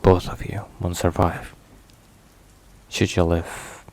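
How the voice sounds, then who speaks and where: A man playing a stern, protective, weary mafia boss in an intimate ASMR roleplay, speaking in a grave, measured, halting way. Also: low voice, low-quality recording. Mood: subdued, hesitant. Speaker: voice actor. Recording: steady rain and thunder noise. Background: rain and thunder.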